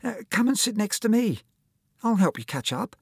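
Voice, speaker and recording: A man's voice: high-pitched, sing-song, normal speed, gravelly, a little mellow, husky, no room sound, studio quality, pitched above the performer's own voice, exaggerated voice. A man voicing a small, timid schoolboy, earnest and eager to help, in a storybook reading. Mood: helpful. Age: child. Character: small timid helpful schoolboy, bright at maths.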